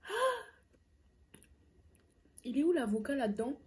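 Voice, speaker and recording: A woman's voice: high voice, very animated, slow, a little hiss or hum. A young woman telling a story casually while eating on camera, chatting with lots of hesitant fillers, in a small room close to the camera. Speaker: food vlogger.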